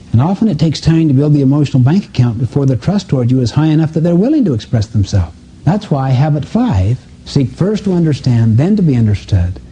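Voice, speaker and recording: A man's voice: medium pitch, dark tone, rough recording. A man giving a motivational seminar talk to a live audience, emphatic, with rhetorical questions and repetition. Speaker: seminar speaker.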